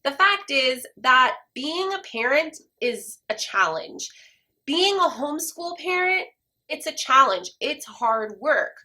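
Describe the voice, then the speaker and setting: Congested woman, bright voice. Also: high voice, expressive, slow. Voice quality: congested, stuffy-nosed. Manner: earnest, gentle, encouraging. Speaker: homeschooling mom vlogger. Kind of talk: personal talk to camera.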